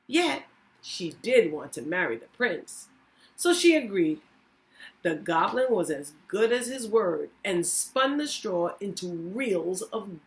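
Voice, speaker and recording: A woman hosting storytime, reading a children's story aloud with expressive, deliberate phrasing. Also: fairly low pitch, low-quality recording. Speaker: storytime host, reading teacher.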